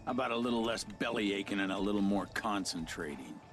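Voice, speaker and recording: Man acting a low, gruff hard-boiled lawman. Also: lively intonation, fast talker, natural voice. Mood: irritated. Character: grim, short-tempered veteran lawman, hard-boiled and vengeful. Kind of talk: dramatic video game dialogue. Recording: loud music over the voice.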